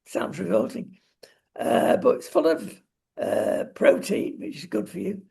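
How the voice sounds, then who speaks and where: Husky, creaky high-pitched male talk show host. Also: sing-song, measured pace, mellow. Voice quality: husky, gravelly. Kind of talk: opinionated casual discussion.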